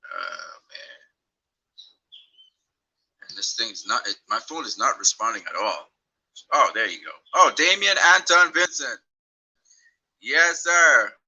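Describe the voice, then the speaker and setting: A man's voice: medium pitch, lively intonation, slow, long pauses, pushed and bright, breathy edge, rough recording. A man from Trinidad and Tobago hosting a casual livestream chat on his phone, rambling and repeating words as he goes. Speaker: livestream host.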